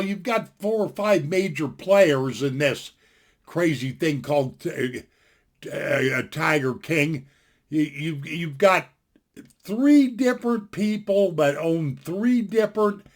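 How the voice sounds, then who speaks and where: A man in his sixties talking casually from his home into a desktop mic, giving a blunt, folksy, opinionated rant that rambles and restarts often. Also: high-pitched. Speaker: vlogger. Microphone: desktop computer mic.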